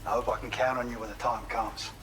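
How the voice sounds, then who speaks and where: Actor as a distant, courteous researcher. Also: male voice, medium pitch, level tone, fast, natural voice. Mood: appreciative. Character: courteous, earnest male researcher. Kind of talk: video game dialogue acting. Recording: distant, with clear noise behind.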